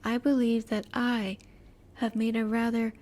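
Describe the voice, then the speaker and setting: A woman voicing a curious, reserved female alien scientist in a roleplay audio, speaking politely in formal, measured, halting phrases. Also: a little high, little pitch movement, darkish tone, natural voice. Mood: apologetic, formal. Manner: formal, measured, precise wording with halting pauses. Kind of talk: scripted roleplay audio, spoken intimately to the listener.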